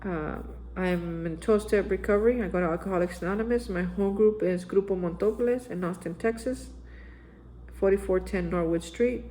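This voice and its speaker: A man's voice: sits high, normal speed, warm tone, a little hiss or hum, poor audio. Earnest male vlogger with a plain speaking voice; exhorting, repetitive spiritual reflection. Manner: earnest, exhorting, repeats phrases and says "man". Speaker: recovery vlogger. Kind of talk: daily spiritual reflection monologue. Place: Austin, Texas area.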